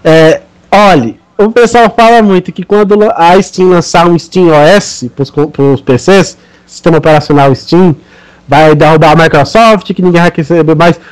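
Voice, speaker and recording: A man with a Brazilian Portuguese accent and a notably high voice co-hosts a casual gaming podcast. He talks emphatically and lectures his listeners. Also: normal speed, clear, resonant tone, low-quality recording. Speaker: podcast co-host.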